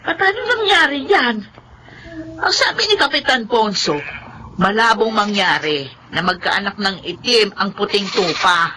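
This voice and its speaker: Radio horror: worried middle-aged Filipino mother, hesitant, highly animated, sharp bright tone. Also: unhurried, slight background noise. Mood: alarmed, fretful. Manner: hesitant, fretful, exclaiming. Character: anxious, fretful middle-aged village mother.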